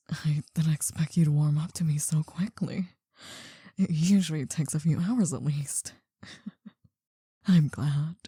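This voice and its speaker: An older female crime boss, acted by a woman, murmurs right into the ear in a very dark, mellow whisper. She is slow and deliberate, breathy and possessive, soft but dangerous in an ASMR roleplay, recorded close and dry. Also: deep voice, no echo, exaggerated voice. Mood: tender, coaxing. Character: possessive older female mafia boss, soft-spoken, coaxing yet menacing. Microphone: close dry microphone.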